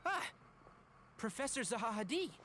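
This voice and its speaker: An actor playing an earnest, considerate young forest ranger in a video game, polite and quick to take charge. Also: male voice, extremely high pitch, big pitch swings, sharp tone, slight background noise, full character voice. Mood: alert.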